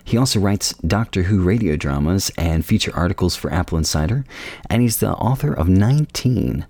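Deep-voiced man hosting a podcast. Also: lively intonation, normal speed, mellow, no echo. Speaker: podcast host. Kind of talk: conversational interview hosting.

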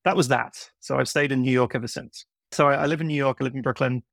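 Male podcast guest, British-American accent, medium pitch with noticeable creak, casual explainer. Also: steady tone, fast talker, a bit bright, dry recording, studio quality. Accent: blend of British and American. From United Kingdom. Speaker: podcast guest, software consultant. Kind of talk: casual explanatory interview talk.

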